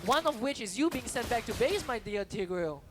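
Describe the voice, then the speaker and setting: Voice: medium pitch, expressive, brisk. An esports co-caster giving casual, chatty color commentary and talking to the stream chat. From Singapore. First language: Chinese is second language. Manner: casual, laughing, talks to chat. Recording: commentary over loud game music.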